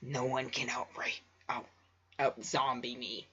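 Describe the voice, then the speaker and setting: A man's voice: medium pitch, level tone, takes it slowly, pushed and bright. A man reading a story aloud with casual joking asides, stumbling over words and rambling self-deprecatingly. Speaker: amateur fanfiction reader. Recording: muffled lo-fi home recording.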